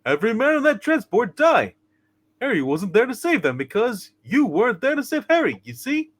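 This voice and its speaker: A man's voice: extremely high pitch, huge pitch swings, normal speed, a bit bright, full character voice. A man playing a fussy, earnest guardian angel in a hammed-up radio play reading. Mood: solemn, admonishing.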